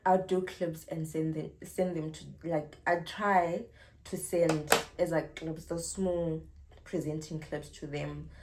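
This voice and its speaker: A young woman with a South African accent talks slowly and unhurriedly in a casual Q&A vlog. Her voice sits a little low, and she breaks into giggles between relaxed, rambling answers. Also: a little hiss or hum, rough recording. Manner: chatty and giggly, drawn-out pauses. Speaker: YouTuber.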